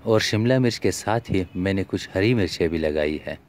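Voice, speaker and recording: A man's voice: deep voice, lively intonation, normal speed, a little mellow. This is a man. He narrates a garden tour for his video channel from a prepared script. Music plays behind his voice-over.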